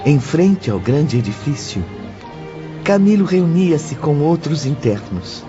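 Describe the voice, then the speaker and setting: A man's voice: on the high side, very animated, slow pace. A man narrating a scripted audiobook as a storyteller. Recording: muffled audio with music behind.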